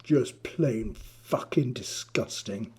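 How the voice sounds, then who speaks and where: A man's voice: medium pitch, takes it slowly, a little mellow, husky. Man as a snarling, squat schoolboy prefect. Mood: disgusted, snarling. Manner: snarling, blunt, hostile. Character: hairy, squat, angry bellowing schoolboy prefect.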